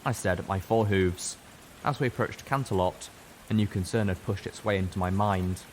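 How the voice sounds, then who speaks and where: A man's voice: deep voice, moderate pace, a little hiss or hum. A man reading a scripted first-person story as a dramatic narrator. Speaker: audiobook reader.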